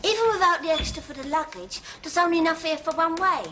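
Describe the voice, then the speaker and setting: An actress playing a pert, cheeky young housemaid who talks in a saucy, ungrammatical way in a 1970s television period drama. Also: female voice, very high-pitched, fast talker, bright, forceful tone, slight background noise.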